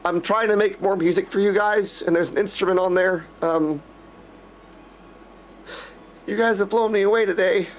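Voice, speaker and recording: High pitched guy streamer chatting to his viewers, super effusive, music playing behind him. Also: steady tone, moderate pace, long pauses, a little hiss or hum. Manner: effusive, gushing, often addressing viewers as dudes. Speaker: game streamer hosting a live stream. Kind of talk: casual livestream chat to viewers.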